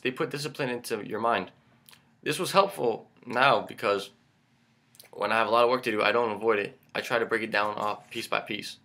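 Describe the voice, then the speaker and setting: A young man, a Greek speaker, giving a prepared student self-introduction in an earnest, measured way with small stumbles. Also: on the low side, vocal fry, sharp tone. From Pennsylvania, United States. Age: young adult, about 19. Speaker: college student.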